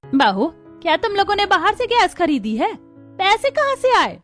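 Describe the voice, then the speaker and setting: A woman voicing a poor, grateful older village mother-in-law in expressive cartoon dialogue. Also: very high-pitched, normal speed, faint music behind, exaggerated voice. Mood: surprised, delighted.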